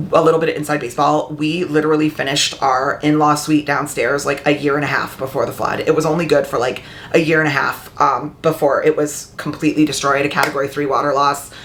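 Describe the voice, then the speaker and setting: A woman book vlogger talking in a casual, heartfelt way, her voice a little raspy and hoarse after being ill. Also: deep voice, flat delivery, a little fast, slight background noise. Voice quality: slightly raspy. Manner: chatty and heartfelt. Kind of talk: casual vlog chat. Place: upstairs room at home.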